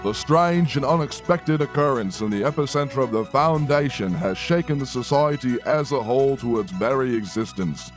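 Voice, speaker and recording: An actor playing an earnest broadcast announcer delivering mock news and play-by-play in a satirical audio play. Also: male voice, medium pitch, animated, moderate pace, few pauses, over music, natural voice. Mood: grave. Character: earnest male news anchor turned sports play-by-play announcer.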